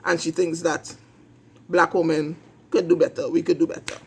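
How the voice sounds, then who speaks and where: A Trinidadian man with a raised pitch and a gravelly, husky edge to his voice. He hosts his own show and delivers a blunt commentary monologue, hammering each point by repeating it and swearing freely. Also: flat delivery, moderate pace. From Trinidad and Tobago. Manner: emphatic, repetitive, blunt and profane. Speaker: host of an online talk show.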